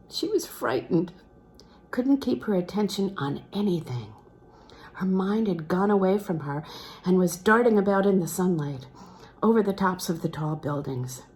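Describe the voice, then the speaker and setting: A woman narrates literary fiction in a fairly low voice. Her intonation rises and falls widely as she reads, and there is audible noise in the recording. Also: darkish tone. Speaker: audiobook reader. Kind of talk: expressive literary read-aloud of a novel.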